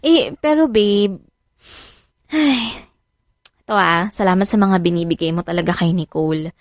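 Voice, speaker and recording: A woman's voice: mid-range voice, unhurried, no room sound, studio quality, natural voice. An actress with a Filipino accent playing an affectionate, anxious single mother in a radio drama, coaxing and conversational. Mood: tender.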